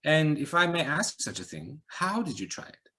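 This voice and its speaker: A man's voice: fairly high pitch, sing-song, normal speed, pushed and bright, pitched above the performer's own voice. A man doing an arch pale man with an Eastern European lilt. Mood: probing. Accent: slight Eastern European. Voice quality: arch, philosophical, fussy. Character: pale, arch man with answers, older-sounding. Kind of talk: audiobook reading.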